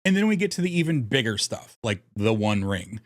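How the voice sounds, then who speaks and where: Guy narrating a guide vid, really lively up and down pitch, casual and a bit wry. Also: brisk. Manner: playful, wry asides. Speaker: host of a card game video. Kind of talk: scripted narration, deck guide with casual asides.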